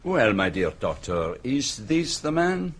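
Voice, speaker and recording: An actor playing a shrewd, fussy middle-aged detective in a radio play, speaking with polite, precise and faintly teasing care. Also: male voice, mid-range voice, big pitch swings, slow, full character voice. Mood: calm probing. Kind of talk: radio drama acting.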